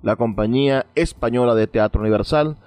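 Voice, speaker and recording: A man's voice: mid-range voice, a little fast, a little mellow. A man hosting a radio programme, talking conversationally and emphatically to his listeners. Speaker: radio host.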